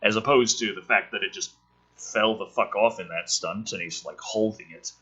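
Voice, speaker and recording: A man reading a film script aloud and adding casual, sardonic, profane commentary in an animated way. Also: fairly low pitch, normal speed, slightly rough, dull audio.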